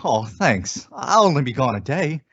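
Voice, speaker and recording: A man playing a polite, hesitant employee and husband in an old-time radio sitcom, speaking haltingly and meekly. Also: mid-range voice, sing-song, fairly bright tone, husky, exaggerated voice. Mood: meekly resigned. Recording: dull, dry lo-fi radio recording.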